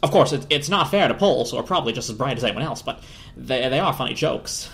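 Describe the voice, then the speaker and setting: Narrator playing an eager, animated talking radio. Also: medium pitch, quick pace, creaky. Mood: jokey. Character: eager, chattering, jokey radio.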